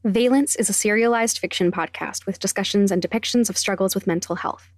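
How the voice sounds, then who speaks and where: A woman's voice: medium pitch, brisk, no room sound. Woman announcer reading a podcast notice.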